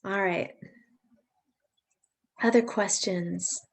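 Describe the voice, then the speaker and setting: A woman's voice: medium pitch, steady tone, extremely slow, creaky. A woman hosting a virtual parent information session, talking in a friendly, chatty, reassuring way over a video call. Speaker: pre-college program staff host.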